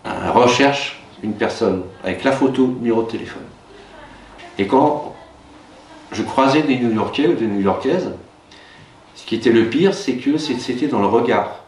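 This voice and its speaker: A middle-aged man whose native language is Russian, a teacher recalling his life abroad in a hesitant, reflective way, with many pauses and fillers. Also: mid-range voice, darkish tone, a little hiss or hum. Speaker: teacher being interviewed. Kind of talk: reflective personal recollection. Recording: roomy, distant mic with faint ambience.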